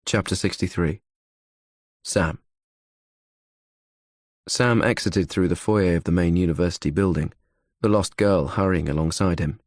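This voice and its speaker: Man, audiobook narrator, very low pitch, dry studio recording, third-person thriller narration. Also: measured pace, pauses often, no echo.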